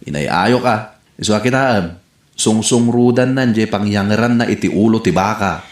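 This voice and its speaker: A man's voice: lowish voice, moderate pace, echoey room, close to an everyday voice. A man with an Ilocano accent acting a nagging, teasing son in a rural comedy radio drama.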